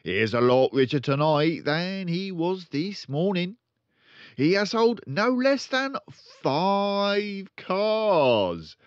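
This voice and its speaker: A man voicing a cocky, crooked, bullying car-dealer father from a children's storybook, loud and emphatic. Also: on the high side, no echo, highs cut off, voice pushed up.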